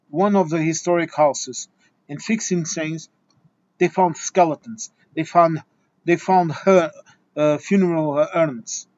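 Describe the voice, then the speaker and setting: Portuguese man, fairly high voice, lecturing and exasperated, dull lo-fi recording. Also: a little mellow. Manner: exasperated, lecturing, many restarts and repeated words. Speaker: video essayist. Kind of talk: impassioned opinion monologue.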